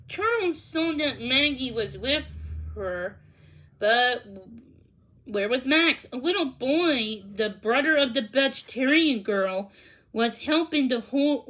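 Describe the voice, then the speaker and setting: A woman with a high voice narrates a children's book. She reads at a slow pace and a bit haltingly, now and then stumbling and going back over a word. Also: a bit bright, rough recording. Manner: halting reading, stumbles and repeats words. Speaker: storybook reader. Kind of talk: children's read-aloud narration.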